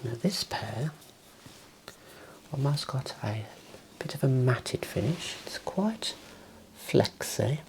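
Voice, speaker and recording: A man in his thirties whispering gently and soothingly in an ASMR roleplay as an optician, close to the mic in a small room. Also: mid-range voice, lively intonation, takes it slowly, long pauses, slight background noise, poor audio. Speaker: ASMR performer playing an optician.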